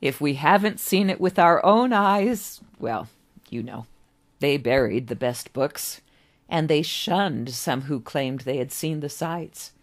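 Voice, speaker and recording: A woman playing a slow, gentle, creaky old man. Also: very deep voice, heavily acted. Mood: wistful, confiding. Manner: musing, kindly, slow, with rhetorical asides. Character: gentle, wistful, very old man, self-styled professor.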